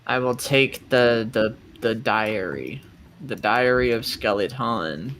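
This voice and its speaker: A man playing a tabletop roleplay, chatting casually and describing his character's actions in halting phrases. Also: mid-range voice, a bit bright, slight background noise.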